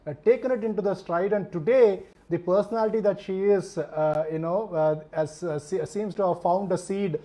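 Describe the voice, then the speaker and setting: Male interview host from India, slightly high, mellow voice, halting delivery. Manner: hesitant, many fillers and repeats. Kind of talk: interview conversation.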